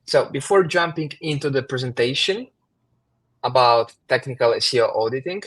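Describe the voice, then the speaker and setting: Male co-host from Ukraine, nervous and halting, starting a webinar on a call. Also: medium pitch, a bit bright. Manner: admits nerves, halting with restarts. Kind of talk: live webinar, casual opening chat.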